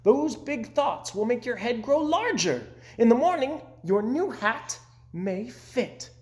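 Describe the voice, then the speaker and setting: A reader voicing a cheerful, optimistic male frog from a storybook in an animated, excitable manner. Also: medium pitch, slow pace, pitched above the performer's own voice. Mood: encouraging.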